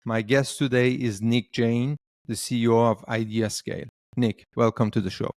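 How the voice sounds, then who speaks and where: A man's voice: mid-range voice, level tone, normal speed, darkish tone, no room sound, clean studio sound. A man hosting an interview show, asking questions with many fillers and restarts. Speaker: podcast host.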